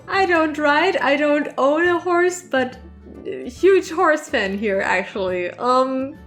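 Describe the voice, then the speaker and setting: A woman's voice: high voice, expressive, takes it slowly, a bit bright, soft background music. A woman talking casually and informally to her viewers as a vlog host, rambling and a little self-deprecating. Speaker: online creator and channel host. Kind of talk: casual chatty Q&A vlog to camera.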